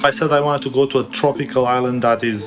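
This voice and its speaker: A man's voice: mid-range voice, steady tone, rapid-fire, over music. A man from Romania hosting a travel vlog, moving between scripted narration and talk to the viewers. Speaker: travel vlogger and host.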